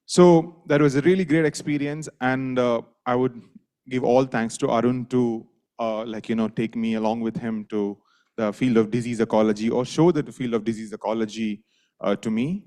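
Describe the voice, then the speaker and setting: A man, introducing a lecturer in a hall with a halting, anecdotal story. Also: mid-range voice, steady tone, mellow. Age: 30s. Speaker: session host introducing a speaker. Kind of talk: spoken introduction.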